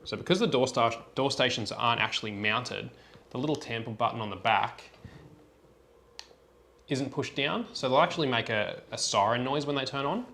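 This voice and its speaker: Male tutorial presenter, medium pitch, plain step-by-step instruction, unscripted walkthrough, faint background noise. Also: moderate pace, vocal fry, bright, forceful tone.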